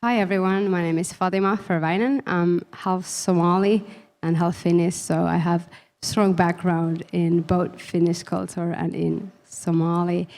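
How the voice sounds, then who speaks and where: A woman introducing herself as a panelist in a calm, composed way. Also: fairly low pitch, measured pace.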